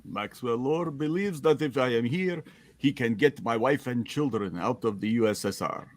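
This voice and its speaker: A man's voice: medium pitch, moderate pace, no echo, natural voice. A man voicing a cheerful, hearty Soviet armored superhero with formal, stilted phrasing in an amateur comic book reading. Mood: jovial.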